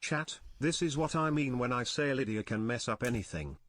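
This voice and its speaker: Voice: medium pitch, lively intonation, normal speed. A text-to-speech voice reading out viewers' paid messages on a stream.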